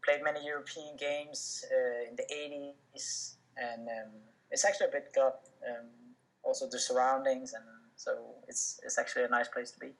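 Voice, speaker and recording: A man's voice: mid-range voice, little pitch movement, normal speed, creaky, rough recording. A young man, a native Danish speaker, answering interview questions casually, with many fillers and restarts. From Denmark. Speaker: professional footballer, interview guest.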